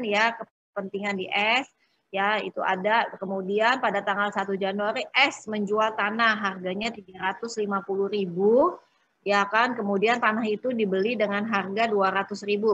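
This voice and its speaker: A woman teaches in an informal, step-by-step way. Her voice is bright and forward with a husky edge, at a medium pitch. She pauses often and drops in little check-in tags as she explains. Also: highs cut off. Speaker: accounting instructor. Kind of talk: informal lecture, working through exercises step by step.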